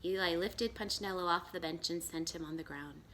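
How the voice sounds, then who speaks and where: A woman reading a children's storybook aloud as a storyteller, expressive and emphatic. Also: lowish voice, fairly quick, a little hiss or hum. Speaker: storyteller and school educator.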